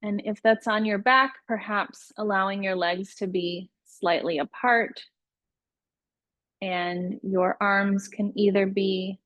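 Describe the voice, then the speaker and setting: Female meditation guide, very slow and soothing, mid-range pitch, close dry recording. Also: no room sound. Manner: unhurried, soothing instructional delivery with pauses. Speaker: yoga nidra meditation teacher.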